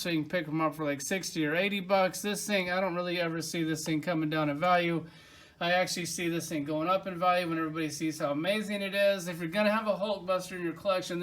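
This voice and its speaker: A man's voice: high-pitched, a bit bright. A man casually reviewing toys from his collection, enthusiastic and rambling, with lots of filler words. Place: at home by his collection shelves.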